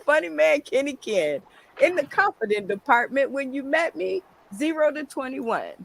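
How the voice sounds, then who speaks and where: A woman's voice: high voice, animated, normal speed, creaky, fairly bright tone. A middle-aged woman hosting a comedy panel, playful and teasing, laughing often. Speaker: host.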